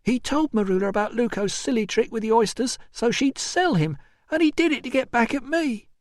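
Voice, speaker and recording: A man's voice: very high voice, animated, brisk, no echo, clean studio sound, pitched above the performer's own voice. A male narrator voicing a distraught, tearful young enslaved mother, wailing and bitter in an audiobook story. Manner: wailing, shrieking, accusatory. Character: distraught young enslaved mother, shrill, bitter, weeping over her son.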